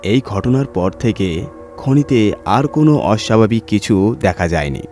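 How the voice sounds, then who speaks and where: Male horror narrator, lower register, scripted storytelling over background music. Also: expressive, measured pace.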